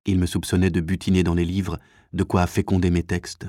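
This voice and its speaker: A man reading literary prose as an audiobook narrator, in a measured, articulate and expressive scripted delivery. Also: sits low, no echo, clean studio sound.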